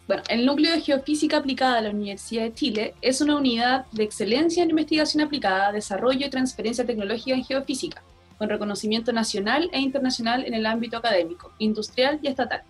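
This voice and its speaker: Breathy woman reading a formal announcement. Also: fairly high pitch, soft background music. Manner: measured, formal. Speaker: announcer reading a sponsor message. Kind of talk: scripted announcement.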